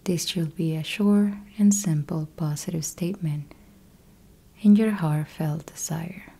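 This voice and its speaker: A woman guiding a yoga nidra meditation in a soft, breathy, husky voice, calm and gentle, leaving long pauses between short instructions. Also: sits low. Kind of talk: guided meditation, slow instructional.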